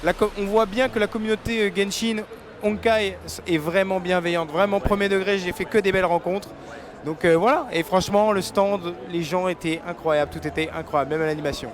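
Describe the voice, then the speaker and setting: A man's voice: sits high, steady tone, brisk. A man chatting casually to viewers at a convention stand, enthusiastic and repeating himself for emphasis. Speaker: streamer and stand presenter. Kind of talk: casual interview chat addressed to viewers. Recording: loud music behind the voice.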